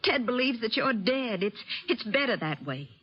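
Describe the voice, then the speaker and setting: An actress playing a protective, wounded frontier aunt in an old-time radio western, speaking earnestly and emotionally with a husky voice. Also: female voice, a little high, sing-song, moderate pace. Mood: bitter, pleading. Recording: dull, lo-fi old radio recording.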